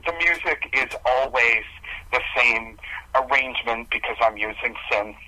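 A man's voice: mid-range voice, steady tone, unhurried, sharp tone, no room sound, rough recording. A man speaking as a musician guest in a casual interview, hesitant and self-deprecating as he explains himself.

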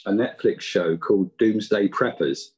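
A man's voice: fairly low pitch, moderate pace, a little mellow, slightly rough. A British man chatting casually as a guest in an interview. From United Kingdom. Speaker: interview guest, audio drama writer.

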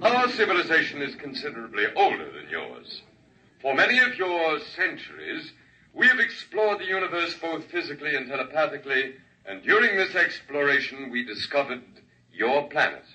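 Stately alien guy lecturing a crowd, bright tense voice, dull far-off 50s radio sound. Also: on the high side, big pitch swings, full character voice. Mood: solemn. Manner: formal, measured oratory. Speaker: alien speaker addressing a crowd. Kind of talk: 1950s radio drama acting. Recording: muffled 1950s radio broadcast, distant mic.

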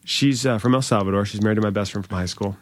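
A man's voice: on the low side, fast, no echo. A man hosting a casual podcast, asking curious questions and poking fun at himself with a loose, filler-heavy delivery. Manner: curious, self-deprecating, lots of fillers. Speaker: podcast host.

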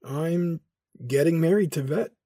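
A man playing a smug, self-assured young dark-side warrior in an audio drama, gravelly and husky, with formal, clipped speech. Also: fairly high pitch, a little slow, no echo, clean studio sound. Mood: smug, dry.

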